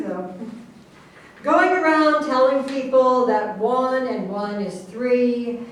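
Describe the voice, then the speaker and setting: Stage satire: actress as sardonic female political opponent, deliberate delivery, high pitch, recorded at a distance. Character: skeptical, scolding female political opponent of the president. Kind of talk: staged reading of a satirical play. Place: echoey room, far from the microphone. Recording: very echoey, distant, noisy hall recording.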